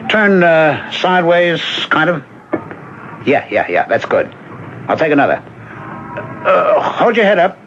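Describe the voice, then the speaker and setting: An actor playing a kindly, protective, easygoing man in an old-time radio drama, with a gentle, folksy, coaxing way of talking. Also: fairly high pitch, sing-song, slow, pauses often, over music, heavily acted. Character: kindly, protective, easygoing man looking after young woman. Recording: dull lo-fi radio drama broadcast.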